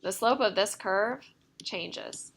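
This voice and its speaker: A woman's voice: medium pitch, slow, creaky, fairly bright tone, a little hiss or hum. A woman math teacher lecturing a class step by step, often checking in with the students, her voice a little worn. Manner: explains step by step, checking in with "right?". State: slightly losing her voice.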